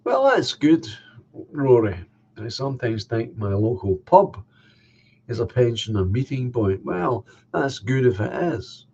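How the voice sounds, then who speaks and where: A man's voice: mid-range voice, very animated, a little slow, gravelly, dark tone, breathy edge, highs cut off. An older man with a nasal, slightly congested voice, chatting casually as a stream host and answering viewers' comments one by one. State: coughing, a bit congested. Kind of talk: casual chat.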